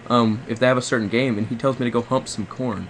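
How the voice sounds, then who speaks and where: A man's voice: medium pitch, steady tone, fast. A man making a polite phone complaint, hesitant and repetitive, circling back over his words. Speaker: prank caller posing as a customer. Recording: faint background noise on recorded call.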